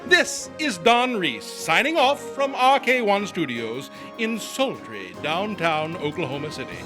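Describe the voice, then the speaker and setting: High-pitched, animated radio-show host. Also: male voice, unhurried, over music. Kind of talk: old-time radio announcing.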